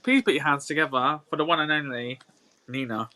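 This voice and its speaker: A man's voice: on the high side, fairly quick, heavy background noise. A man co-hosting a casual chat show, making playful, sassy jokes. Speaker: co-host.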